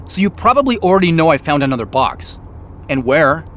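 Male actor as suspicious man on the run, sarcastic, lively swooping pitch, audio drama, faint car noise behind. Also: brisk. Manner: sarcastic, agitated. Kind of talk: audio drama acting with first-person narration.

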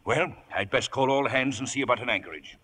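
An actor playing a steady, authoritative ship's captain with a crisp, commanding manner in a 1950s radio drama. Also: male voice, mid-range voice, fairly quick, over loud music, natural voice. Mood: grave, resolute.

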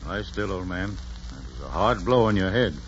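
An actor playing a curious, half-amused tavern bystander in a probing 1940s radio style. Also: male voice, low-pitched, expressive, normal speed. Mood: concerned. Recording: muffled, noisy 1940s radio recording.

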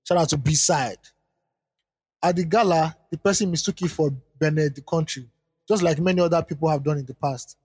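A man who co-hosts a podcast, reading a listener's letter aloud and then chatting about it in quick bursts with frequent restarts. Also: fairly high pitch, a little mellow, slightly rough, dry recording, long reverb tail. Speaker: podcast co-host.

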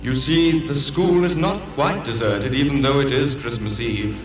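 An actor, a man, playing a solemn, commanding ghostly spirit in an old-time radio drama, measured and formal. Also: mid-range voice, close to an everyday voice. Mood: probing, gentle. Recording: echoey old radio production with music.

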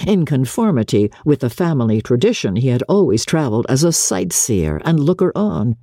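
A woman reading a classic novel aloud as a dramatic audiobook narrator, in a measured, expressive way with deliberate phrasing. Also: low voice, no room sound, studio quality.

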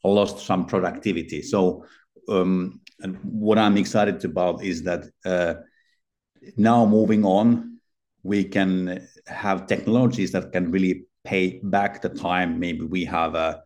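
A man's voice: low voice, steady tone, takes it slowly, a little mellow. A middle-aged man from Finland, speaking as a podcast guest in a hesitant way, with many fillers and restarts. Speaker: podcast guest, healthcare consultant. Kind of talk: casual interview talk.

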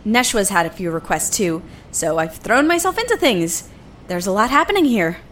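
A woman's voice: mid-range voice, big pitch swings, moderate pace, fairly bright tone, a little hiss or hum. A woman acting an overworked, defensive engineer in a science fiction audio drama. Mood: focused, weary.

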